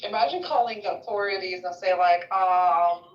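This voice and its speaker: Rambling podcast co-host, woman, mid pitch, set back from the mic in an echoey room. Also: normal speed. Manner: rambling, chatty, restarts mid-sentence. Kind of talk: casual chat. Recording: roomy, distant, lo-fi.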